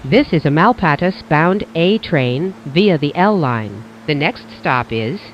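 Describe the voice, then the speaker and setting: Transit announcer: measured, formulaic scripted delivery with animated pitch, dull recording over faint vehicle noise. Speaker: train announcer.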